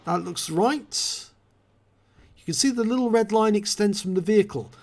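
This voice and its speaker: Man, hoarse, high-pitched voice, calm explanatory game commentary. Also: normal speed. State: hoarse after a cold. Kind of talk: video game replay commentary.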